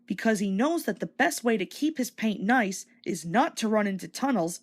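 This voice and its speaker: A woman reading a children's story aloud as an expressive, scripted read-along narrator. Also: medium pitch, moderate pace.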